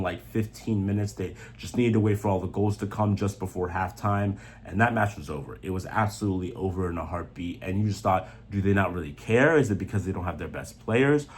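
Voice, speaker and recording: A man's voice: on the low side, slight background noise. A man giving opinionated, off-the-cuff soccer commentary in a blunt, emphatic manner, repeating phrases for stress. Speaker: sports commentator.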